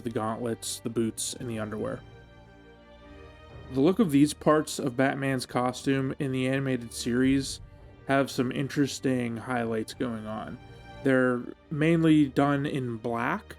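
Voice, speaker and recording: A man with a mid-pitched voice narrates a hobby tutorial. He explains each step in a measured, instructional way. Music plays behind his voice-over. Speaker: hobby painting tutorial host. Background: background music.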